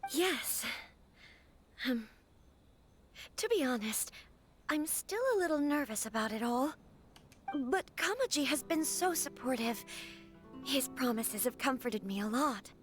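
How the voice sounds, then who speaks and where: A young noblewoman from a game, her voice high and gentle. She speaks with formal politeness and earnest hesitation, over faint music. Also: animated, unhurried, pauses often. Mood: nervous, confiding. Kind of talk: scripted game dialogue.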